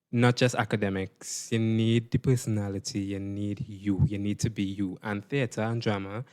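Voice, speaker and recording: A man's voice: on the low side, darkish tone. A young man with a Trinidadian accent giving earnest interview answers as a stage performer. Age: young adult.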